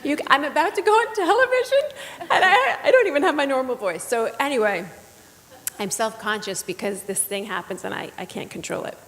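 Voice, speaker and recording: A woman's voice: high voice, lively intonation, brisk, fairly bright tone, slight background noise. A woman who is partly losing her voice, with a slight tremor, speaks earnestly and casually on stage at a book event. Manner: earnest, with frequent "um"s. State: partly lost voice, with a vocal tremor. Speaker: reading teacher and children's book author. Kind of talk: casual onstage book talk. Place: stage at a live book event in a large hall. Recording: long hall reverb.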